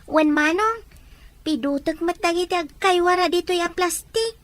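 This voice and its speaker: Tiny little sister voice done by a woman, super high, childlike and curious, audio drama. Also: moderate pace, no echo. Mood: patient, puzzled. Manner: childlike, questioning. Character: caring, curious little girl, younger sister. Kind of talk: amateur audio drama acting.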